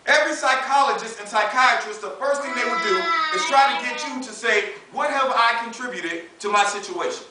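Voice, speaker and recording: An American man in his thirties preaches with a very high, bright voice. His delivery is emphatic and conversational, leaning on everyday slang and rhetorical questions. The recording is heavily echoed, as if the mic sits some way off in a large room. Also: a little fast, few pauses. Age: 30s. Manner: emphatic, colloquial, uses rhetorical questions and acted-out dialogue. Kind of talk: animated, conversational sermon. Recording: echoey room, distant mic, faint noise.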